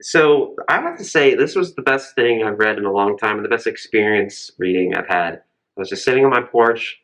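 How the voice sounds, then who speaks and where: A man's voice: fairly low pitch, lively intonation, a little fast, fairly bright tone, low-quality recording. A man co-hosting a casual book recommendation chat. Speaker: library podcast co-host.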